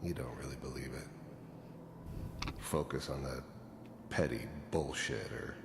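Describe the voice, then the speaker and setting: An actor playing a weary, guilt-ridden hardened killer in a video game, gravelly, brooding and matter-of-fact as he reminisces. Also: very deep voice, takes it slowly, full character voice. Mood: bitter, wistful. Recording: echoey, noisy game audio.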